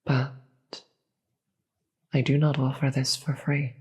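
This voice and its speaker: Demon queen played by a voice actor for fantasy audio drama: regal, persuasive, very slow and measured, husky with whispered edges. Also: mid-range voice, little pitch movement, a little mellow. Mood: measured, grave. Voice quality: breathy, often dropping into whisper. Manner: slow, formal, measured, grave. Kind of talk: scripted fantasy audio roleplay monologue.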